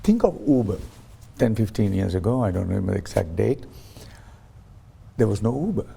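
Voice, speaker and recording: A man's voice: fairly low pitch, big pitch swings, fairly quick, gravelly, warm tone, a little hiss or hum. A man interviewed as a guest on a talk show, explaining his ideas through stories and acting out quoted voices, with frequent restarts. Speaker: guest, science ambassador.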